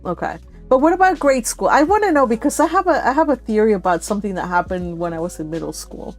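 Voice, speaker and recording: A millennial woman hosting a book read-along livestream, chatting casually with viewers in an animated, giggly, exclaiming way. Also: medium pitch, quick pace, soft background music. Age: 30s to early 40s. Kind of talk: casual live chat with viewers.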